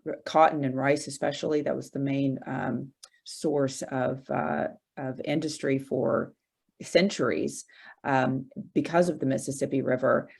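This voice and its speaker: A woman in her forties from Arkansas, a guest in an interview, talking slowly with many fillers and restarts. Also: rumbling low, steady tone, creaky, darkish tone, breathy edge. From Arkansas, USA. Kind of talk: casual interview conversation.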